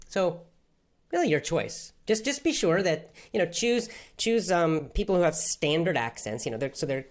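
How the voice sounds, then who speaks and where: A man who teaches English, answering viewers' questions in an enthusiastic, motivational way. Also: mid-range voice. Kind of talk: live Q&A with viewers.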